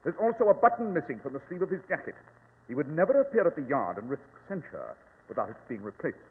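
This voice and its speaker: An actor playing a brilliant, haughty English gentleman detective in old-time radio drama, precise, pompous and commanding. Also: on the high side, sing-song, quick pace, husky, full character voice. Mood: cool, knowing. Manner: precise, pompous, theatrical delivery. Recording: tinny, noisy, reverberant old radio recording.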